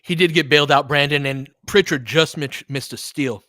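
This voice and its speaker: A man's voice: fairly high pitch, normal speed, sharp tone, no room sound, clean studio sound. A man doing casual live basketball commentary as a fan host, slangy and sweary, talking to the players.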